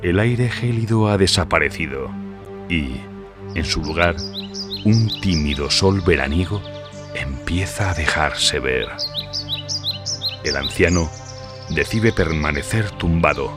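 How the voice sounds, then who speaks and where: A man's voice: low voice, lively intonation, slow pace, long pauses. A man narrating a horror audiobook in a dramatic, scripted storytelling style. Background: music.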